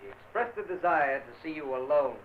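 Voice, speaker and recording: Actor as a brooding, menacing manor lord. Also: male voice, fairly high pitch, expressive, a little fast, lightly acted. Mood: grave. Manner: dramatic, menacing, theatrical. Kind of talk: vintage radio drama acting. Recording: muffled old radio recording with hiss.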